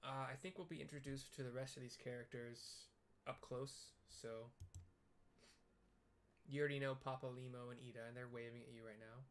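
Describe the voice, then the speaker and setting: A game streamer casually describing what is on screen, hesitant and searching for words in halting fragments. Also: medium pitch, little pitch movement. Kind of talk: casual, improvised visual description of a game for listeners.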